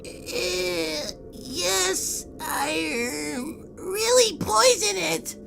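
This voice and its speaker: A man voicing a bumbling young colt pony playing a stage villain, in a shrill, drawn-out, hammy way. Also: big pitch swings, vocal fry, full character voice. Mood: confused. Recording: distant mic with music bed.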